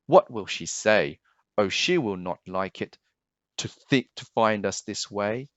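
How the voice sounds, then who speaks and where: A man's voice: fairly low pitch, a little slow, no echo, highs cut off, natural voice. A man voicing a fretful, bossy pet fish from a children's storybook, giving urgent and insistent warnings. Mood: panicky urgent. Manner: urgent, repeating warnings emphatically. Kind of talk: children's storybook character reading.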